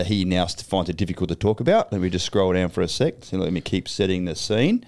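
An Australian man with a low, smooth voice hosts a podcast in a blokey, upbeat way. He swears casually and keeps the chat relaxed. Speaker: podcast host.

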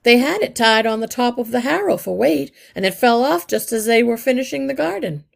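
A woman's voice: on the high side, animated, a little fast, creaky, voice pushed up. A woman voicing a stocky, practical male rat engineer in a storybook, matter-of-fact and confident.

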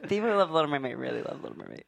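A woman's voice: deep voice, wildly swooping pitch, very fast, creaky, no echo. A woman talking casually on a panel in a conversational way, repeating words for emphasis. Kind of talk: casual chat.

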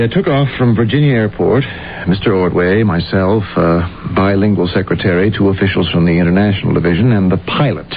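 Guy acting nervous middle-aged ex clerk in old radio mystery, lowish voice, hesitant and earnest, dull hissy recording. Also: lightly acted. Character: uneasy middle-aged former company clerk recounting his ordeal.

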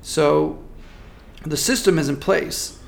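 Man, consultant, thoughtful interview answer, measured pace of ideas, gravelly tone. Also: on the high side. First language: not French. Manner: measured, thoughtful, frequent restarts. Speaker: marketing consultant.

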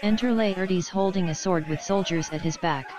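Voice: mid-range voice, moderate pace, a little mellow. A narrator reading out stage directions for an audio play in a scripted style. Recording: dull, echoey sound, faint crowd.

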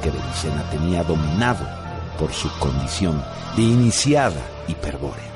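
A man giving solemn, measured scripted narration for a radio drama. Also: rumbling low, lively intonation, over music.

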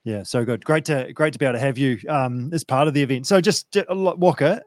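A man's voice: medium pitch, brisk, a little mellow, dry recording, studio quality. A man hosting a podcast, talking in a loose, chatty way full of fillers. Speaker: podcast host. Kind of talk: casual podcast chat.

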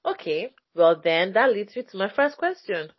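A woman's voice: mid-range voice, very animated, moderate pace, breathy edge, no room sound, dull audio. A woman hosting a casual conversation, chatty and hesitant, with many fillers as she talks. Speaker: podcast host.

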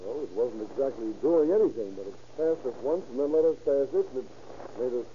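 An actor playing a wounded, frightened young husband in an old-time radio crime drama. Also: male voice, medium pitch, animated, brisk, close to an everyday voice. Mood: shaken, aggrieved. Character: wounded, frightened young husband pleading, then recounting a holdup. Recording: muffled, noisy old radio transcription.

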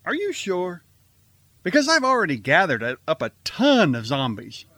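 A man's voice: sits high, huge pitch swings, normal speed, fairly bright tone, dry recording, exaggerated voice. A man playing a bumbling, earnest, zombie-loving host of a radio theater show, delivering dramatic introductions. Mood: sheepish.

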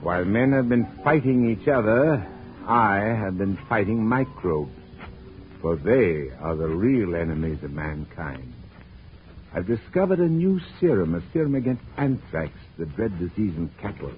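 An actor playing a dedicated middle-aged French scientist in an old-time radio drama, earnest and declamatory. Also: male voice, on the low side, sing-song, unhurried, a little hiss or hum. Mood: earnest resolve. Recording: tinny old radio recording with music.

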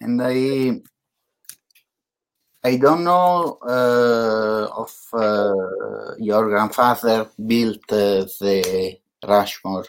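Host, slow halting english, lots of uhs. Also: male voice, on the low side, steady tone. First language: Italian. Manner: halting, hesitant English with many fillers. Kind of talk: casual interview.

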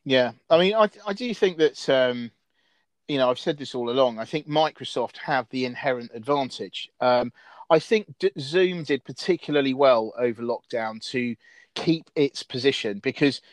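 A man's voice: medium pitch, normal speed, dry recording. A man hosting a casual discussion, rambling with frequent restarts and fillers. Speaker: host.

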